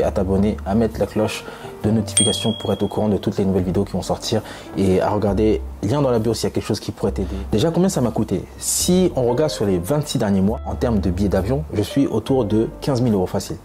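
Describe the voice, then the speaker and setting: A man's voice: fairly low pitch, fast talker, warm tone, soft background music. A young French man talking casually to camera, emphatic and full of fillers and repeats, from France. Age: young adult. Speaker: vlogger.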